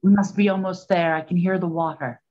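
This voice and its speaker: An actress playing a suspicious, sharp-tongued woman detective in a 1920s period radio play. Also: lowish voice, fairly even intonation, brisk, close to an everyday voice. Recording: slightly dull lo-fi sound.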